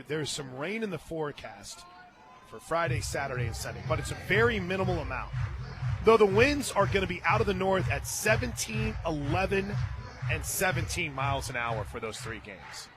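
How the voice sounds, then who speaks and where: An American man doing live play-by-play radio commentary on softball, chatting between the calls. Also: a little high, big pitch swings, moderate pace, music in the background. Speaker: play-by-play announcer. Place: outdoor stadium radio booth.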